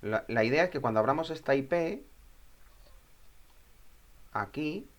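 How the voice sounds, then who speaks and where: A man presenting an informal step-by-step software tutorial, thinking aloud and often checking in with short questions. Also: mid-range voice, lively intonation, unhurried, dull audio.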